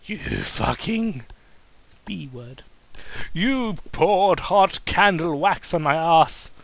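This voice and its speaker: A man's voice: fairly high pitch, animated, extremely slow, gravelly, no room sound, full character voice. A man voicing a small, whiny, indignant bearded man who complains childishly in an amateur story reading. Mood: angry, accusing. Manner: whining, yelling, sarcastic.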